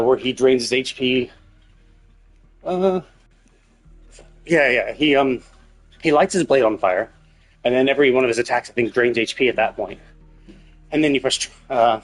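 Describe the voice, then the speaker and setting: Male streamer, medium pitch, casual and occasionally profane, musing aloud over quiet background music. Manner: speculative, thinking out loud. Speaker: game livestreamer. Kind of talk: casual live game commentary.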